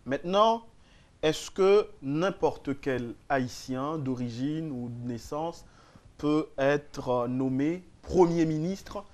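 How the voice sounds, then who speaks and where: A man's voice: a little high, slow, darkish tone. A man hosting a radio interview, prompting his guest and finishing his sentences. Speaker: radio talk show host.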